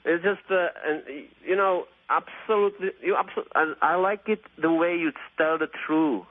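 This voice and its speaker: Male radio caller, late 30s, slightly high pitch, gushing and repetitive, thin telephone sound. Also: normal speed. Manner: effusive, rambling, repetitive, mildly profane. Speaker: phone-in caller. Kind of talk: casual, opinionated radio call-in chat. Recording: tinny phone line on radio.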